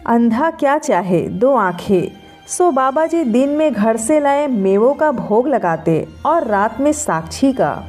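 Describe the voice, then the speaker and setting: A woman reading a scripted story in an expressive storytelling manner. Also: on the high side, slow. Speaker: story narrator. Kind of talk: scripted Hindi story narration. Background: background music.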